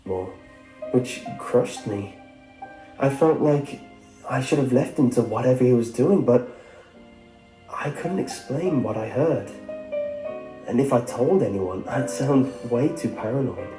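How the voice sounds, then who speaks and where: A man's voice: medium pitch, lively intonation, a little slow, long pauses. A man reading a scripted first-person horror story as its narrator. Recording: roomy echo under music. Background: music.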